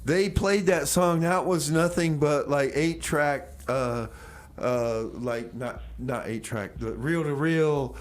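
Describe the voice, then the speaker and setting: A man's voice: a little high, slow pace. A man hosting a radio show with casual, rambling banter, often repeating himself as he announces songs and chats. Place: radio studio.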